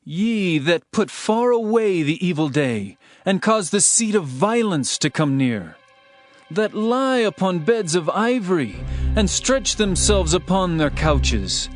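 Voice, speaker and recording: Male scripture narrator, fairly high voice, solemn and declamatory, with prominent music underneath. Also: big pitch swings, takes it slowly, no room sound. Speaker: Bible narrator. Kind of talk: dramatized scripture reading. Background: background music.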